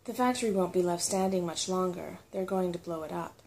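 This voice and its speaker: A woman's voice: on the low side, a little fast, vocal fry, a little mellow, slight background noise. Woman reading as a shy, polite boy. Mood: glum.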